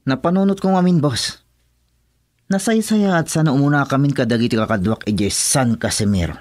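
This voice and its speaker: A man acts a loyal henchman in an Ilocano radio drama, in a medium-pitched voice recorded close and dry. He speaks respectfully and obediently as a crime boss's man, with an Ilocano accent. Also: expressive, moderate pace, a little mellow, dry recording, natural voice. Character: deferential henchman of a crime boss, assuring loyalty.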